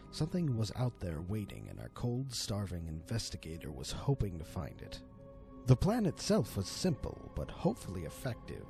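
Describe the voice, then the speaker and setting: Deep, gravelly, highly animated male storyteller. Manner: theatrical, with deliberate dramatic pauses. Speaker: narrator. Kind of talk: dramatic scripted horror story narration. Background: music under the voice.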